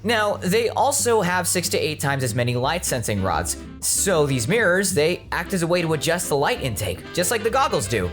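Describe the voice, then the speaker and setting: An earnest, nerdy young male student, acted out by a man for an audio drama. His pitch moves around in a lively way and he holds his ground. Faint music plays behind him. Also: moderate pace, few pauses. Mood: eager explaining.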